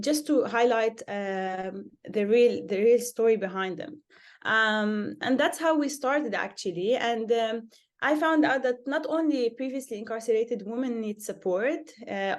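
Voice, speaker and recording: A young woman from Lebanon, a social worker, answering interview questions in an earnest way, with many hesitant "uh" pauses. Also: mid-range voice, darkish tone.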